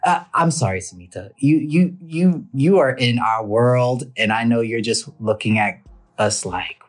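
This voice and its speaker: A man co-hosting a podcast in a playful, teasing, energetic way. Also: medium pitch, a little slow. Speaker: podcast co-host.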